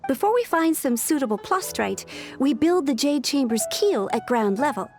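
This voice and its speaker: Efficient young helper npc, high lilting voice, formal explainer. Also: female voice, sing-song, normal speed, a little mellow, soft background music. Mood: businesslike, polite. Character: polite, efficient young female construction assistant. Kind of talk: video game voice acting.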